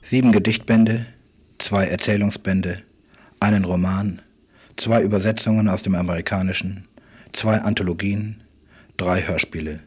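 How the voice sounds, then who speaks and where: A man with a husky, breathy voice delivers a literary radio monologue in stark, clipped phrases, often repeating himself. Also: deep voice, steady tone, normal speed, darkish tone. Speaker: writer.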